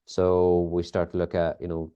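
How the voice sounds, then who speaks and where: Northern Irish male guest, deep, mellow tone, measured and full of "um"s. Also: monotone, smooth. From Northern Ireland. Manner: thoughtful, many fillers and restarts. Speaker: sports biomechanics lead, guest. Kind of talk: conversational interview answers. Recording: dull lo-fi audio.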